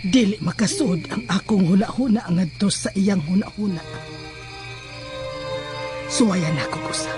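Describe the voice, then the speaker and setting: Casting: woman for grave occult chanter, horror radio play, very deliberate, mid pitch, under heavy music. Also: close to an everyday voice. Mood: brooding. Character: solemn occult spell-caster probing minds. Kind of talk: horror audio drama incantation.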